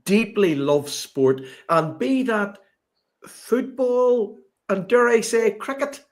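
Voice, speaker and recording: A man's voice: sits high, slow pace. A man with a Belfast accent, a sports consultant talking as a guest on a podcast, chatty and full of fillers and restarts. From Northern Ireland. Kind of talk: podcast interview.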